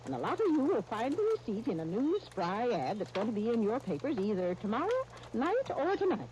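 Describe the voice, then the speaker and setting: A woman's voice: a little high, very animated, fairly quick, darkish tone, poor audio. A woman playing a kindly small-town aunt with an American accent in folksy 1930s radio style. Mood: kindly, pleased. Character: kindly, homespun small-town aunt who tells stories and shares recipes. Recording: hissy 1939 radio broadcast disc.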